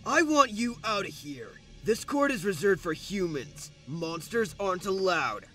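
A man voicing a brash, excitable young boy in a comic dub, speaking in exclamations. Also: sits high, measured pace, soft background music, heavily acted. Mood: hostile. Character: young boys, excitable and brash, cartoon humans.

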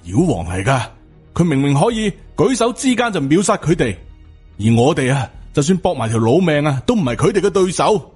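An angry middle-aged Taoist master, put on by a male storyteller, suspicious and gruff. His pitch swings widely and the voice is slightly husky, with music underneath. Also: big pitch swings, brisk, a little mellow. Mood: agitated, accusing.

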